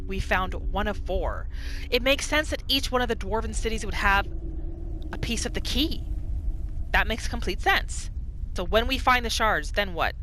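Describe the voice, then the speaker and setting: A woman hosting a gaming channel, giving expressive roleplay commentary and reacting aloud as she plays. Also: medium pitch, moderate pace, a little hiss or hum, low-quality recording. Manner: expressive, reacts aloud, talks to the game characters. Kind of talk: video game roleplay commentary.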